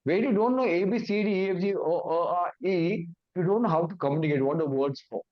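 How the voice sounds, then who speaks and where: A man's voice: high voice, brisk, muffled recording. A man with an Indian English accent, a native Hindi speaker, giving an awareness talk in simple, repeated phrases. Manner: explains in simple repeated phrases, acting out confused thoughts. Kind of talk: awareness talk with everyday examples.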